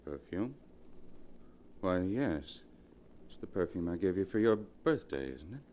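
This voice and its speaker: Low-voiced haunted husband, old radio. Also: male voice, sing-song, measured pace, lo-fi sound. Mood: distracted. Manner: theatrical, dreamy. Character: distracted, haunted husband lost in a trance. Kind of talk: 1940s radio melodrama acting. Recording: noisy vintage 1940s radio recording.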